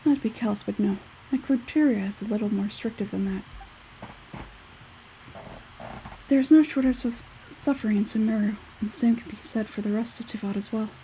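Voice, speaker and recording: A woman acts out an aloof young male scholar from a fantasy game. The tone is mellow and soft-edged, and the delivery is measured, detached and matter-of-fact. There is faint noise behind the voice. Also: mid-range voice, natural voice, low-quality recording. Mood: blunt, aloof. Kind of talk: video game character dialogue read aloud.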